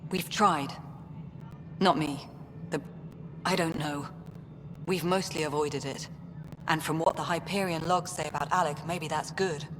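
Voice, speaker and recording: An actress playing a jaded, blunt and sardonic colony director in a video game, in a noisy, echoing space. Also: female voice, low-pitched, steady tone, unhurried, pauses often. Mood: guarded, weary. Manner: sardonic, terse. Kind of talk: video game dialogue acting.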